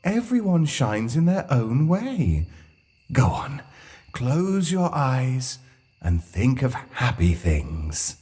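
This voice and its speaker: Woman doing a deep, breathy, kindly male ghost. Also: big pitch swings, mellow, exaggerated voice. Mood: encouraging. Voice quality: husky, breathy. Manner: soothing, swooping, drawn-out delivery. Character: gentle, reassuring male ghost, kindly and calm. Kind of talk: children's storybook character voice.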